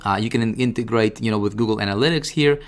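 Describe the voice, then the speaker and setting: A man presenting a casual, informal software tutorial, talking directly to the listener and often checking that they follow. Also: fairly low pitch, fast. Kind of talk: casual screen-share product walkthrough.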